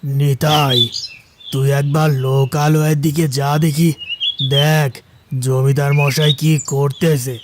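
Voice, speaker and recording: A man playing a ruthless, scheming bandit chief in a moral-story cartoon, commanding and deliberate in his delivery. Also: fairly high pitch, little pitch movement, background noise. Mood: menacing, scheming. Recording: loud music over the voice.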